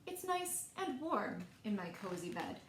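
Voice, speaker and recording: Storybook groundhog played by a woman: homebody, cheerful, simple expressive talk, lively pitch, echoey room. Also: a little slow. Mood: cozy, reluctant. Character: cheerful homebody male groundhog, childlike and cozy. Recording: echoey room, faint background noise.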